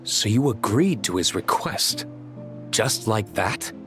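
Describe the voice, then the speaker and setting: An actor playing a guarded, skeptical nobleman, formal, deliberate and pointed in delivery. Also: fairly low pitch, expressive, music in the background. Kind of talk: dramatic video game acting.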